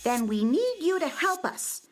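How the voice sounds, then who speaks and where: Woman plays an eager ship's first mate, children's radio play: very high, wildly swooping, earnest. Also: measured pace, soft background music, exaggerated voice. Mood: earnest, urgent. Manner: theatrical, earnest, expressive. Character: eager first mate of a flying dream ship.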